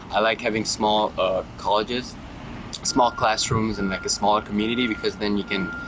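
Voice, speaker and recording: Man from iran, low voice, hesitant casual talk, clear background noise. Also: steady tone, normal speed. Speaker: international student. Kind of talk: casual testimonial.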